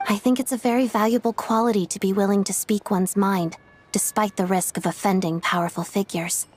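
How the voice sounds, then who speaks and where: A woman's voice: mid-range voice, fairly even intonation, a little fast, a little mellow, lightly acted. Polite, reserved young woman, played by an actress. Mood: earnest. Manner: courteous, formal, tentative. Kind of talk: video game cutscene acting. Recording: background music under the voice.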